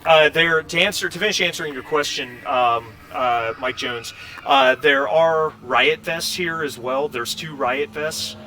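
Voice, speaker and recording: Man, game master, bright voice, mid pitch, casual hesitant explaining, distant noisy mic. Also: unhurried. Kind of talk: casual tabletop game refereeing. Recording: distant mic with faint noise.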